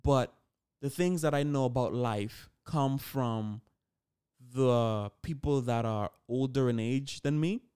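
A man's voice: mid-range voice, slow pace, dark tone, clean tone. An eighteen-year-old man hosting a solo podcast, talking casually and informally, often correcting himself as he goes. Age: 18 years old. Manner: informal, conversational, self-correcting. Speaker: podcast host.

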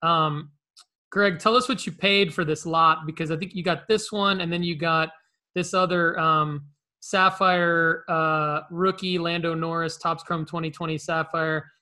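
A man hosting a casual collectibles show, explaining things in a rambling, halting way. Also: a little high, fairly even intonation, bright, forceful tone. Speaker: show host. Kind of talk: casual, conversational collectibles talk.

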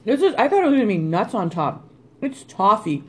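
A woman's voice: medium pitch, fairly quick. Swearing, jokey woman podcast co-host. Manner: swears freely, animated and jokey. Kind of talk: casual chat.

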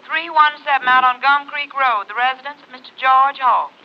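A woman's voice: sits high, fairly quick, loud music behind the voice, close to an everyday voice. An actress playing a businesslike, courteous female telephone operator in a 1950s radio drama. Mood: brisk, polite. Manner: formal, courteous. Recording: muffled old radio recording, loud noise.

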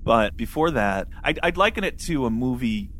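A man's voice: medium pitch, big pitch swings, normal speed, a bit bright, a little hiss or hum. A man co-hosting a podcast in casual chat, with a joking, self-deprecating manner. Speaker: podcast co-host. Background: background music.

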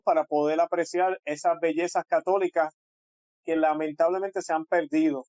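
A man with a Puerto Rican accent, a guest speaking in a halting way with frequent pauses over a remote call. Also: on the high side, darkish tone, dry recording, highs cut off. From Puerto Rico. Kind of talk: casual interview talk.

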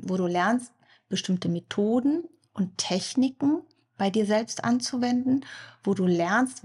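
A woman hypnosis coach explaining to the listener in a podcast, often speaking the listener's inner thoughts aloud. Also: medium pitch. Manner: warm explanatory, addresses listener directly, acts out inner thoughts. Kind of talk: podcast monologue.

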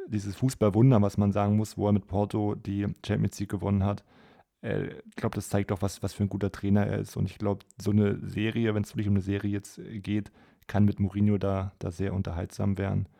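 A man with a dark, relaxed voice and some fry chats casually as a football podcast co-host. He speaks in a flat, hesitant way, often repeating words, and the recording is close and dry. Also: fairly low pitch, fairly quick, no echo. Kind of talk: casual conversational football discussion.

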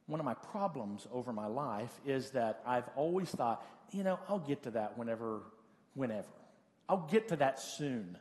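A man in his late forties preaching a conversational sermon as a pastor, giving practical advice in a halting way and repeating phrases for emphasis. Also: mid-range voice, lively intonation, a little mellow. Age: late 40s. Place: church. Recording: hall reverb of a large room.

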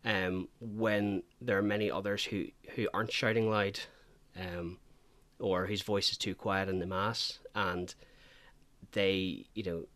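A man hosting a conversational interview. His voice is fairly low and bright in tone, recorded dry and close with no room sound. He speaks earnestly, feeling his way with frequent fillers. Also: flat delivery, a little slow, no echo. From Northern Ireland.

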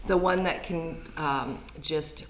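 A middle-aged woman, hoarse from talking too much, chatting as a podcast guest about her shop, with many fillers. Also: slow, a little hiss or hum. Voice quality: deep, raspy voice. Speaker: guest, shop and cafe owner. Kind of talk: casual podcast conversation.

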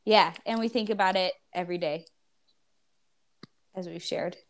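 A woman's voice: lowish voice, normal speed. A woman co-hosting a casual podcast chat, giggling and dropping wry asides. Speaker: podcast co-host.

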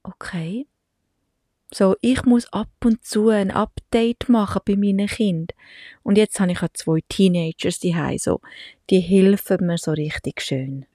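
A woman's voice: medium pitch, measured pace, warm tone, dry recording, studio quality. A Swiss woman in her forties with a Swiss German accent, chatting casually to the camera as a mother and vlogger, laughing often and slipping in English words. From Switzerland.

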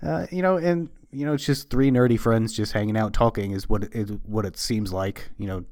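A man's voice: fairly low pitch, brisk, darkish tone, dry recording. A man appearing as a podcast guest, chatting casually in a friendly, self-deprecating way. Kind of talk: casual chat.

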